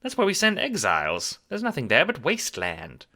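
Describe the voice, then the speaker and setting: A man voicing a timid, polite female alien who sounds worried and gentle, in an audio drama. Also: a little high, wildly swooping pitch, normal speed, pitched above the performer's own voice, exaggerated voice.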